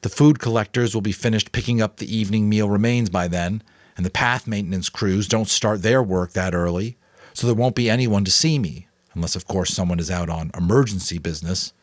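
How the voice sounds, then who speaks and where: A man's voice: on the low side, brisk, no room sound, clean studio sound, natural voice. A man voicing an earnest, determined adolescent boy in a dramatic audiobook reading.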